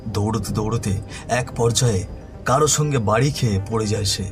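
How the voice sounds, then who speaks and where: A man's voice: on the low side, a little fast, music in the background. A man narrating a scripted horror story in a dramatic storytelling style.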